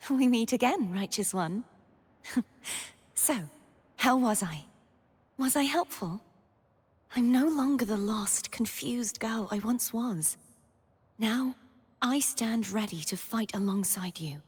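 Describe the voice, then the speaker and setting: A young woman playing a gentle, earnest, wistful maiden heroine in scripted video game lines, soft-spoken and breathy. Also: fairly high pitch, slow pace, long pauses. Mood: warm, resolute. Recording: long reverb on the voice.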